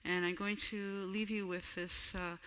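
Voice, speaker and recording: In a reverberant hall, a woman who is a native Ojibwe speaker offers closing words to a gathering. Her voice is fairly bright, and she talks haltingly, pausing often as she goes. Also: mid-range voice, little pitch movement. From Manitoulin Island, Canada. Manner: halting, unhurried, frequent throat clearing. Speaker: school worker offering traditional closing. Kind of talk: ceremonial closing address. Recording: echoey hall with long reverb.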